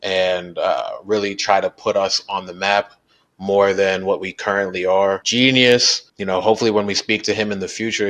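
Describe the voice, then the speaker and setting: An American man, a guest musician, talking about his background in a relaxed, casual interview with lots of fillers. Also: fairly low pitch. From United States. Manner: relaxed, explains at length with many fillers.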